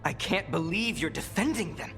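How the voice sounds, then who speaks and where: Young male game rebel: hot-headed, accusing, high voice, light music underneath. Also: lively intonation, moderate pace. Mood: indignant. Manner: heated, accusing. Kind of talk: video game dialogue acting.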